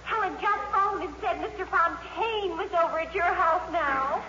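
A woman's voice: extremely high pitch, moderate pace, reverberant, big-room sound. An actress playing a gossipy, incredulous teenage girl with eager, slangy talk, in 1950s radio style. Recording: muffled, noisy old radio recording.

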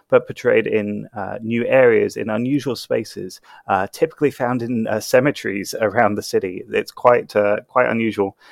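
A man with a non-American accent speaks in a gravelly, fairly low voice, earnest and full of small hesitations. The sound is dry and close. He talks casually as a critic on a panel. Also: no room sound. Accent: non-American English. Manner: hesitant, many fillers, earnest.

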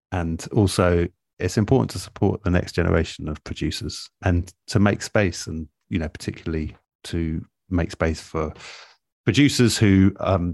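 A man speaking as an interviewed radio producer in a relaxed, reflective way, thoughtful and measured, often pausing mid-phrase. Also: sits low, dry recording, studio quality.

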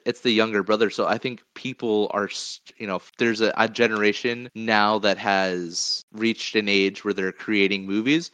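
A man's voice: fairly low pitch, a bit bright, clean tone, no room sound. A man co-hosting a casual podcast, talking in an enthusiastic, rambling way. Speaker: podcast co-host. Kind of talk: casual podcast chat about movies.

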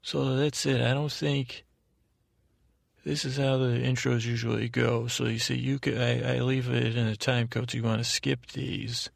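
Male bedtime podcast host, heavily creaky, narrow droning delivery, self-deprecating rambler who trails off and circles back. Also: medium pitch, flat delivery, no echo. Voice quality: gravelly, creaky. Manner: meandering, halting, deliberately dull drone.

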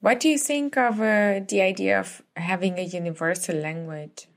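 Female interview host, gravelly voice, brief replies and short questions. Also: mid-range voice, moderate pace. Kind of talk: casual interview.

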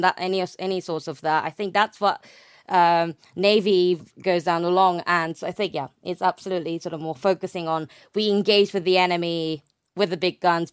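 Clear-voiced young woman explaining, close and dry. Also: fairly low pitch, no echo. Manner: hesitant phrasing, frequent hedging and self-correction. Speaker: interview guest, naval history researcher. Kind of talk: conversational academic explanation.

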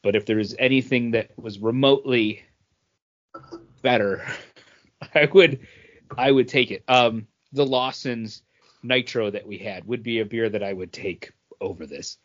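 Mid-pitched man in dull-sounding podcast chat. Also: measured pace, fairly bright tone. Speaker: podcast co-host. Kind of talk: casual beer review and chat. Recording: dull, band-limited audio.

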